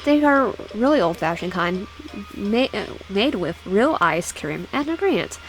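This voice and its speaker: Female game streamer, slightly low-pitched, casual read-aloud with stumbles and restarts, music behind. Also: sing-song, low-quality recording. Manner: halting, stumbles over words and repeats phrases. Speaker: let's player reading a visual novel aloud. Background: background music.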